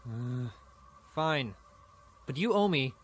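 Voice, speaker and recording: A man's voice: medium pitch, expressive, takes it slowly. A young man playing a grumpy, reluctant student sidekick, exasperated and grudging, in a fan audio drama. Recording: clear background noise.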